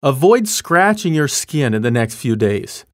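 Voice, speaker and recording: A man reads phrases for language learners in a plain, measured way, his pitch sitting in the middle of the usual range. He speaks very slowly, and the recording is dry and close to the mic. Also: very animated, no room sound. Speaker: language lesson voice actor. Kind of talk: scripted role-play and phrase reading.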